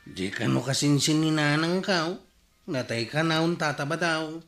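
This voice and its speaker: A man's voice: on the high side, moderate pace, a bit bright, faint music behind. A man playing a reluctant young nephew with an Ilocano accent in a radio comedy drama. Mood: plain. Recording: roomy, echoing studio sound.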